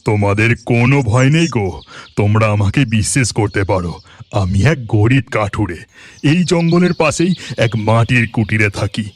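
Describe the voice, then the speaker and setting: A man playing a kindly, poor village woodcutter who talks in a gentle, plain, reassuring way in a fairy-tale cartoon. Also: lowish voice, expressive, normal speed, slight background noise, natural voice.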